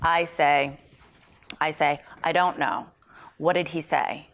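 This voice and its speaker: A woman's voice: deep voice, slow pace, fairly bright tone. A woman talking casually through a script as an actor, precise about wording and reading lines out as she checks them. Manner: precise, detail-minded, asks for approval. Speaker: actor at a script table read.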